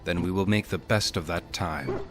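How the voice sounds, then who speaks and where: An actor playing a steady, protective warrior hero in a video game, terse and direct. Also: male voice, deep voice, moderate pace, close to an everyday voice. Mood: concerned. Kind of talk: video game character dialogue. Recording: music behind the voice.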